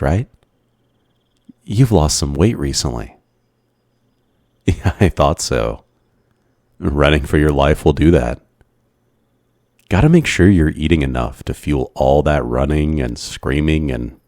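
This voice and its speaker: Very deep, shy young man, acted. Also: dry recording, clean studio sound, heavily acted. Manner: hesitant, stammering, soft-spoken awkward delivery. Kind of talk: ASMR boyfriend roleplay. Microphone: close microphone, dry sound.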